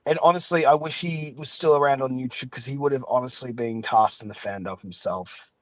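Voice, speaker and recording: Male podcast host, enthusiastic and rambling, mid-pitched voice in casual conversation. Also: brisk, dry recording, rough recording. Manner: chatty, rambling, giggly, enthusiastic. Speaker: podcast host and fan-dub maker. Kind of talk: casual chat.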